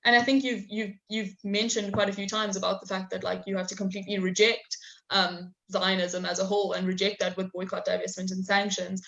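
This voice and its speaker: A woman's voice: mid-range voice, little pitch movement, fairly quick, gravelly, fairly bright tone, highs cut off. Hesitant young woman hosting a webinar. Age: young adult. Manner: hesitant, many ums, relaying audience questions. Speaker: host and moderator. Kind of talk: webinar interview.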